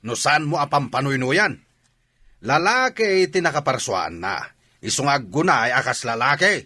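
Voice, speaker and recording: A man's voice: mid-range voice, big pitch swings, normal speed, sharp tone. An actor playing a stern, angry father in an Ilocano radio drama. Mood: angry, exasperated. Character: stern, angry father disapproving of his child.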